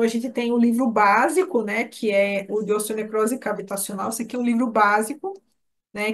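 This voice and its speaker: A woman with a Brazilian Portuguese accent and a slightly high-pitched voice. She speaks as a course instructor in an informal live webinar. Her way of talking is chatty and direct, addressed straight to the people attending. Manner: chatty, addresses listeners by name.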